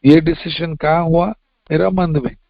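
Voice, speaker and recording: High gravelly man with a Mumbai accent. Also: steady tone, measured pace, dry recording, muffled recording. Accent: Mumbai Hindi. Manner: plain, conversational, mixes in English phrases. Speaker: recovering member sharing at a meeting. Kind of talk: personal testimony share.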